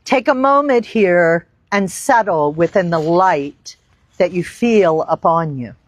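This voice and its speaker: A woman teaching yoga, leading a guided meditation in deliberate, reverent phrases with long pauses. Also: fairly low pitch, expressive, no room sound. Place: outdoor park.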